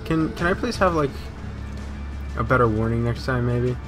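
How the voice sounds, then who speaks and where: A man gives casual gameplay commentary on a desktop mic. His medium-pitched voice rambles informally with some swearing, and music plays clearly behind him. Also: animated, moderate pace. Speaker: video game let's player. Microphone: USB desktop microphone.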